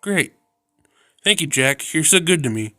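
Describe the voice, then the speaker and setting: A man puts on a sweet, clingy young woman in a visual novel, always coaxing and fond. There is a darker streak under her sweetness. The voice is smooth and sits close and dry. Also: fairly high pitch, expressive, unhurried, pushed and bright, no echo, faint music behind. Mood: delighted. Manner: coaxing, affectionate. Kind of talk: reading a visual novel aloud.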